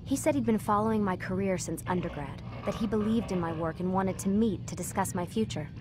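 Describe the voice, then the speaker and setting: An actress playing a troubled young scientist in a video game cutscene, speaking with an American accent in a confiding, hesitant, resigned way. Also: female voice, mid-range voice, brisk, over music, lightly acted. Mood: wistful, confiding. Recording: clear background noise.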